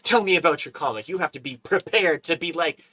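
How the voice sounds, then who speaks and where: Man, host, bright crisp voice, animated, laughs often, casual chat. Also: on the high side, fast, a little hiss or hum.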